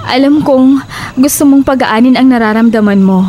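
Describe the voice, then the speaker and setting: A woman's voice: high-pitched, normal speed, mellow, slight background noise, natural voice. A woman acting a frightened, suspicious young woman who pleads insistently with a friend in a horror audio drama. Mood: wary, insistent.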